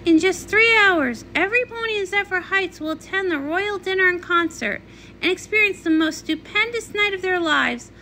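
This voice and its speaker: A woman's voice: very high voice, pushed and bright, slight background noise, heavily acted. A woman voicing a vain, scheming cartoon nymph with a grandiose, theatrical manner in a comic read-along. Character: vain scheming pony-world nymph, grandiose and easily upset.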